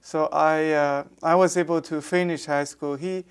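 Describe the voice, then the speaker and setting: A man's voice: on the high side, fairly even intonation, normal speed, smooth. A man in his sixties from Beijing with a Beijing Mandarin accent, a native Mandarin speaker, answering questions in an oral history interview with many hesitations and restarts. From Beijing, China. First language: Mandarin Chinese. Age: 60s. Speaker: architecture professor.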